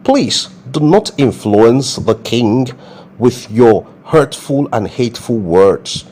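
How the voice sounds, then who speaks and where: A man's voice: mid-range voice, expressive, slow pace, darkish tone. A man playing a cornered criminal boss in a comedy skit, pleading in an affected American accent. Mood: aggrieved pleading. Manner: pleading, aggrieved.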